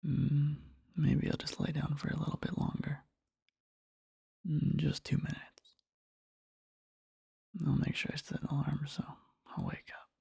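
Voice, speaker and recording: Guy doing a sleepy loving boyfriend asmr, soft mellow husky whisper, slow and halting, dry close mic. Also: fairly high pitch, monotone, no echo, heavily acted. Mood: drowsy, affectionate. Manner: slow, halting, rambling, tender. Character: devoted adoring sleepy boyfriend murmuring tenderly in bed. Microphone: close-up microphone, dry.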